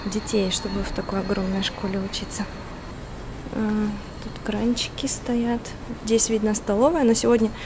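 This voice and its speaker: A woman from Kazakhstan chats to her viewers as she walks through a building, explaining things with small laughs and fillers. Her voice sits at an ordinary middle pitch. The handheld camera catches clear background noise under her speech. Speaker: vlogger. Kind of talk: casual walking vlog narration. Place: inside a large school building, rooms and corridors, partly outdoors. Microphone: handheld camera, speaker off screen.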